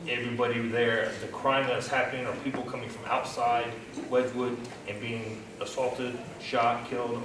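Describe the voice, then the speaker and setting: A man who is a police officer, speaking plainly at a community meeting. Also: medium pitch. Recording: echoey room, distant mic, noisy.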